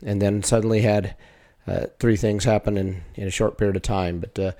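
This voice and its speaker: A man, a wrestling coach, talking over the season in a casual, halting way, full of fillers and restarts. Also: on the low side, fairly even intonation, dark tone. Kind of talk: casual chat.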